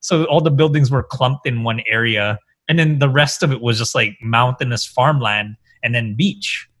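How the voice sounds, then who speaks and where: A man's voice: medium pitch, normal speed, fairly bright tone, no room sound. A man from the Philippines, a guest in a casual conversation, rambling and filling his talk with "like" and "um". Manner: rambling, heavy use of "like" and "um".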